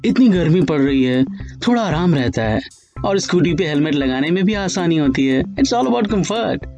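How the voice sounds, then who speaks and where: A man's voice: high-pitched, expressive, moderate pace, dull audio, music in the background. A man voicing a breezy, teasing young woman. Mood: coaxing. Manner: light, playful, coaxing.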